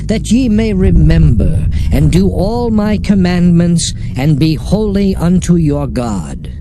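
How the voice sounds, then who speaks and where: An actor playing a stern, solemn prophet leader of ancient Israel in a dramatized scripture, declaiming with command. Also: male voice, a little high, noisy background. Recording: loud music under the voice.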